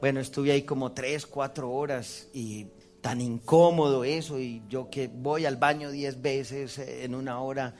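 A Colombian priest with a Colombian Spanish accent, preaching a testimony to a congregation, telling the story with acted-out quotes and laughing at himself. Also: male voice, mid-range voice, steady tone, a little slow, a little mellow, faint music behind.

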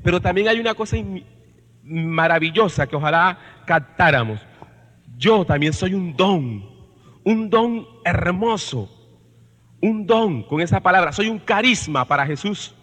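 A man's voice: sits high, expressive, a little slow, a bit bright. A man with a Colombian Caribbean accent preaching as a priest to his congregation, emphatic, repeating phrases and putting questions to listeners. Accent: Colombian Caribbean Spanish. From Colombia's Caribbean coast. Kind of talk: sermon-like talk to a congregation. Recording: long reverberation of a large hall.

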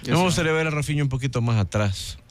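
A man's voice: on the high side, expressive, quick pace. A man on a radio football panel, making witty, teasing remarks. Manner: witty, teasing, joking asides. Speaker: football pundit. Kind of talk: radio sports panel chat.

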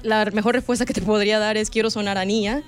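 A woman with a Salvadoran Spanish accent speaks as an interview guest over faint music. Her voice is mid-pitched, and her delivery is casual, chatty and giggly. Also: fairly even intonation, fast.

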